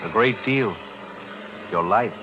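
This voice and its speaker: An actor playing an ominous, patient criminal accomplice, deliberate and understated, in 1940s radio style. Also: male voice, lowish voice. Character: ominous, patient male accomplice awaiting his due. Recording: noisy, muffled 1940s radio recording.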